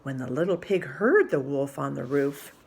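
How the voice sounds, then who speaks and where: A woman reading a children's story aloud as an expressive narrator. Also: rumbling low, normal speed, vocal fry, a little hiss or hum.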